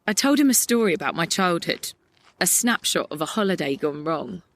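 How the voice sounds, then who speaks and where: A woman's voice: fairly low pitch, animated, fairly bright tone. A woman playing a melancholic, angry young idealist in a poetic audio drama monologue. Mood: wistful. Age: young adult. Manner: lyrical, reflective, deliberate. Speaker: actor in an audio play.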